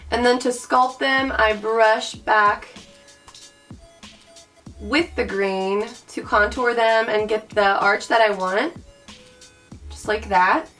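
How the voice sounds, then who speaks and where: A young woman with a raspy voice from recent illness, chatting bubbly through a makeup tutorial and calling her viewers guys. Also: fairly high pitch. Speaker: beauty vlogger. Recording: mic at a distance, soft background music.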